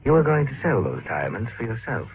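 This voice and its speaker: An actor playing a smooth, calculating businessman who hides a killer's nature in a vintage radio drama, deliberate and persuasive. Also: medium pitch, very animated. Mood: cold menace. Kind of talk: 1940s-50s radio drama acting. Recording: narrow, noisy old radio recording.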